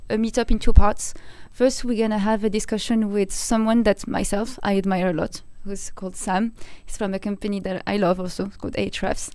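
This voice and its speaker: A woman's voice: medium pitch, mellow, smooth, no echo. A woman from Morocco, co-hosting a meetup livestream in a chatty way, restarting phrases as she talks. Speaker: co-host of a company meetup livestream. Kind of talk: casual chat then outlining the event.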